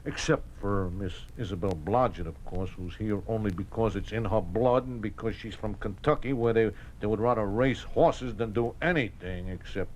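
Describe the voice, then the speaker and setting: An American actor playing a folksy, defensive racetrack bookie in a 1950s radio drama, talking in streetwise slang with stammered repetitions. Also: male voice, lowish voice, normal speed, few pauses, close to an everyday voice. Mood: explaining, self-justifying. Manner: streetwise slangy talk, hesitant repetitions, aggrieved. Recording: noisy old radio broadcast recording.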